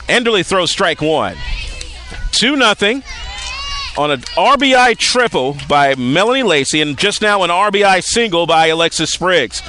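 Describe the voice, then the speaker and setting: A man calling live softball play-by-play as a radio-style sports announcer, outdoors at a ballfield. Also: high voice, animated, moderate pace, faint music behind.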